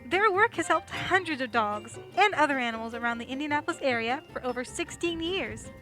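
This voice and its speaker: A woman's voice: high-pitched, animated, brisk, pushed and bright, soft background music. A woman reading a scripted sponsor announcement as a radio play announcer.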